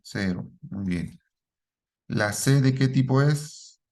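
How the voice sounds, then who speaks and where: A man's voice: mid-range voice, expressive, takes it slowly, darkish tone. Man teaching a programming class. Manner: asks the class questions and repeats their answers, ends lines with a checking "¿sí?". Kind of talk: live classroom lesson.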